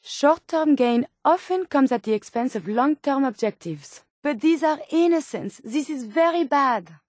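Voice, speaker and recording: A woman's voice: high voice, animated, measured pace, darkish tone, no room sound, studio quality. An actress voicing a polite, earnest, curious female medical robot companion in a video game, with formal, precise, quaint phrasing. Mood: alarmed, urgent.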